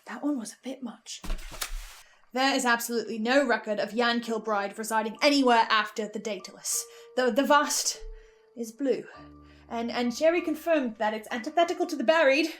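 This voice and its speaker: High-voiced, dramatic woman host. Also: fairly quick. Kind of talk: scripted lore analysis with theatrical asides. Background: faint music.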